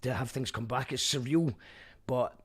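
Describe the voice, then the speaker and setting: A man's voice: medium pitch, normal speed, husky. A man hosting a radio show, chatting casually and reading out listener messages with enthusiasm.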